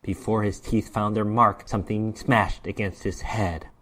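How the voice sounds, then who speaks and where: A man's voice: fairly low pitch, fairly even intonation, a little slow, fairly bright tone. Man giving a dramatic story reading. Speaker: narrator reading a short story. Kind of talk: dramatic reading of horror fiction narration.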